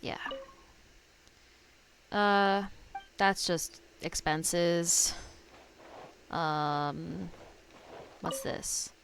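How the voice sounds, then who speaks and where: A woman's voice: on the low side, extremely slow, gravelly, long pauses, a bit bright, a little hiss or hum, rough recording. A woman streamer giving casual, slangy game commentary, often talking to herself as she plays. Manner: informal, slangy, self-talking. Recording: faint game music behind.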